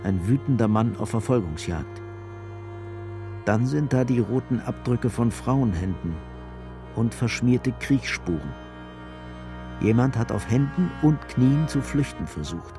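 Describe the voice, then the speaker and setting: A man's voice: medium pitch, expressive, measured pace. A man narrating a crime radio drama in a grave, detached, scripted style. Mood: sober, detached. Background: music.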